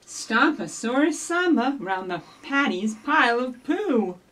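A middle-aged woman hosting a children's storytime, giving simple instructions and reading rhymes to young children in an animated way, recorded by a camera a few feet away. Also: sits high, takes it slowly. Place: small indoor office or library room with block walls. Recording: distant camera microphone.

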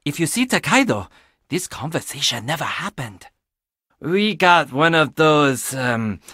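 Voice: mid-range voice, fairly bright tone, dry recording, clean studio sound. An actor playing a quirky, chatty young handyman who loves puzzles in a video game, enthusiastic with sardonic, casual asides. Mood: wry, confiding. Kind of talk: video game character dialogue.

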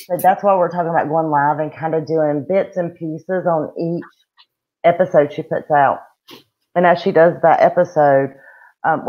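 A middle-aged woman with a Southern US accent, co-hosting a true-crime livestream from home in blunt, folksy casual chat over a webcam. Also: low voice. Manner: chatty and blunt, folksy slang. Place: room at home. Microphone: webcam on a video call. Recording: dull lo-fi video call audio.

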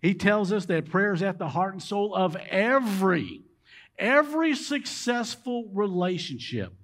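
A man, preaching a sermon in a large church sanctuary and swinging between loud emphasis and low, quiet drops. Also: very animated, unhurried. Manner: emphatic preaching, rising and dropping dramatically. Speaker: pastor. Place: large church sanctuary with rows of empty chairs.

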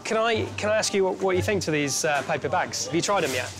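A man's voice: high-pitched, animated, fast talker. A British man in his thirties chatting casually at work in an upbeat, polite manner. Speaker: company boss. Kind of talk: casual workplace chat. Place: inside a busy supermarket. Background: prominent music.

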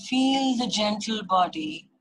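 A woman leading a guided meditation, giving gentle, unhurried instructions in short repeated phrases with long pauses. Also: mid-range voice, animated, rough recording. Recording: music behind the voice. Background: meditation music.

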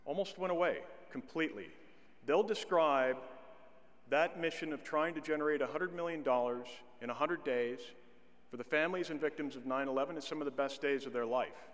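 A middle-aged man delivering a commencement address at a podium, speaking in a measured way with slow, deliberate emphasis. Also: a little high, a bit bright. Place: large indoor arena stage at a podium. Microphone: podium microphone. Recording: long arena reverb.

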